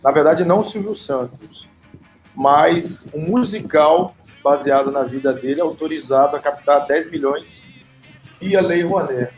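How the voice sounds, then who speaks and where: A man's voice: fairly high pitch, measured pace. A Brazilian man hosting a podcast, talking casually and informally in debate. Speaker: podcast host. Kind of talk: casual debate, reading out news. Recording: roomy echo, faint music behind.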